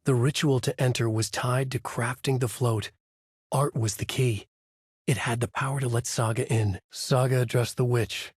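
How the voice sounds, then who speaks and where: An actor playing a troubled novelist in a horror video game, narrating his dark story in a husky, grave, deliberate noir style. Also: medium pitch, fairly even intonation, no echo, studio quality, close to an everyday voice. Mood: grave, brooding.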